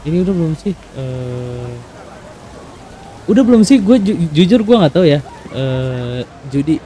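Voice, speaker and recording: A man's voice: a little high, very animated, moderate pace, warm tone, slight background noise, low-quality recording. A man with a Jakarta Indonesian accent giving casual, slangy gameplay commentary and thinking aloud. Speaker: gaming video host.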